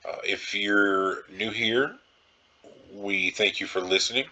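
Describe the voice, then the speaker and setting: A man's voice: on the low side, slow, sharp tone, lo-fi sound. A man hosting a podcast solo in a casual, rambling style, informal and circling back to repeat his phrases. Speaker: podcast host.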